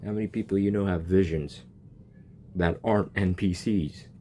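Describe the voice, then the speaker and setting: A man's voice: low voice, fairly even intonation, moderate pace, warm tone, slight background noise. A young man reacting to a video game, rambling and joking and tripping over his words. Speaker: gaming reaction youtuber. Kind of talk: casual reaction commentary.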